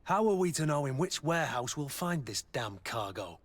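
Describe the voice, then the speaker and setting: A man voicing a gruff, loyal mercenary captain in a video game, speaking bluntly and dryly. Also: fairly high pitch, sing-song, normal speed, no room sound. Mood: blunt, troubled.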